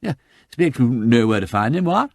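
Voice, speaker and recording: Lord Peter, a man doing a tipsy giggling gentleman. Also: medium pitch, big pitch swings, brisk, creaky, no echo, clean studio sound, voice pushed up. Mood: giggly drunk. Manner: tipsy, giggling, slurred and rambling. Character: drunken, giddy aristocratic young gentleman. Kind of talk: audiobook character voice.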